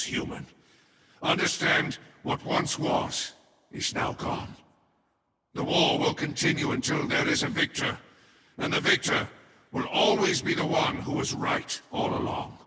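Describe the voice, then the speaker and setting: A man voicing a haughty, ominous serpent-folk overlord in a fantasy game, speaking in solemn, formal, imperious pronouncements with a breathy, whispery tone. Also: pushed and bright. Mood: mournful, resigned. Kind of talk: scripted fantasy game lore narration. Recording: echoey hall reverb with ambient noise.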